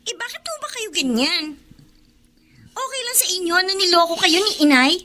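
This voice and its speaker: An actress playing a sulky, resentful young daughter in a Filipino radio drama, petulant and stubborn, with a Filipino accent. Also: female voice, extremely high pitch, sing-song, normal speed, noisy background. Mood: resentful, accusing. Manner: petulant, whiny.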